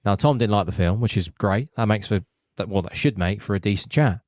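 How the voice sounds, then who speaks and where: A man co-hosting a podcast, talking in a droll, deadpan way. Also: lowish voice, fairly quick, no room sound, clean studio sound. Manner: droll, deadpan, fussy about housekeeping. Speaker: podcast co-host. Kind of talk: casual chat. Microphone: new podcast microphone, spoken into from the side.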